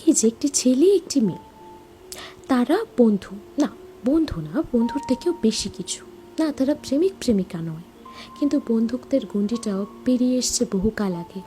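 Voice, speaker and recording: A woman giving a reflective, sentimental scripted introduction to a story, with dramatic pauses. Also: fairly high pitch, animated, dark tone, faint music behind. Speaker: introducer, narrator.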